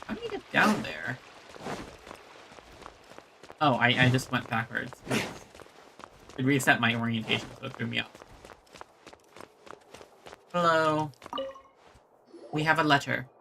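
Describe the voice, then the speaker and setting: A woman's voice: rumbling low, animated, measured pace, creaky, long pauses, noisy background. A woman streaming a video game, giving casual commentary and reading in-game text aloud. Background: game music.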